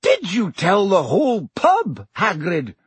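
Man putting on a stern, high-voiced schoolmistress. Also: wildly swooping pitch, slightly rough, no echo, full character voice. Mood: exasperated. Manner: brisk, exasperated, precise. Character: stern, sharp older female schoolteacher.

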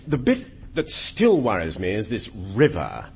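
A man playing an amiable, slow-witted Englishman, mild, hesitant and literal, in a comic play. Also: lowish voice, wildly swooping pitch, heavily acted. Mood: slow, musing. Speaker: actor in a comic stage play. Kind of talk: British farce dialogue. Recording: clearly audible background noise.

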